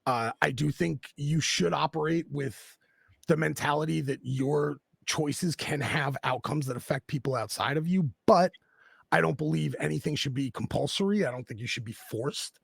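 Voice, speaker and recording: A man with a very gravelly, husky voice, speaking at a slightly raised pitch. He talks bluntly and with passion, swearing freely. The sound is close and dry. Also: moderate pace, a bit bright, dry recording. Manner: blunt and profane, impassioned. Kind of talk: casual political chat.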